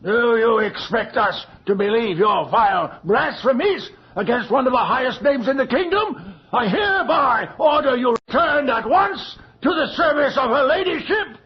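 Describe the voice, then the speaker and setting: A man's voice: extremely high pitch, lively intonation, moderate pace, few pauses, breathy edge, full character voice. An actor playing a haughty, domineering magistrate of the king in a radio horror drama, speaking in formal, pompous phrases. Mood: outraged, commanding. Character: stern, haughty magistrate of the king, contemptuous of peasants. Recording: dull, noisy old radio recording.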